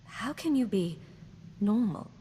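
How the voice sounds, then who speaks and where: An actress playing a poised, wry imperial secret agent in a video game, direct and teasing in manner. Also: female voice, medium pitch, animated, slow, mellow, in a large hall, slight background noise. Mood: tender, flirtatious. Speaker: player character in a video game. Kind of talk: scripted game dialogue.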